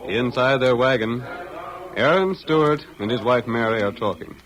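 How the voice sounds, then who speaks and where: A man's voice: medium pitch, moderate pace, a bit bright, poor audio. A man narrating an old-time radio adventure in a stirring, grandiose, theatrical style. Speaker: announcer and narrator. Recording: old radio broadcast recording.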